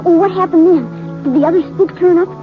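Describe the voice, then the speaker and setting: Woman doing wide-eyed kid boy, super high voice, old radio western, tinny. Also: a little fast. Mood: excited. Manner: breathless curiosity. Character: eager wide-eyed little boy named Johnny. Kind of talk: 1950s radio drama acting. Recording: tinny old radio broadcast, music behind.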